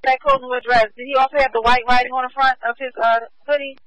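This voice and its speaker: A woman police dispatcher on the radio, briskly repeating locations and warnings to units. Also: sits high, steady tone, a bit bright, dry recording, poor audio.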